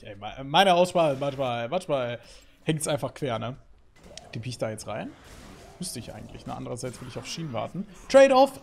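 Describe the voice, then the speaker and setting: A man in his thirties commentates his own gameplay from a home desk setup. His voice sits a little high and swings widely in pitch as he gets excited, with frequent filler sounds between thoughts. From Germany. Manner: lively, excitable, talks through play with fillers. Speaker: gaming YouTuber. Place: home streaming setup, indoors.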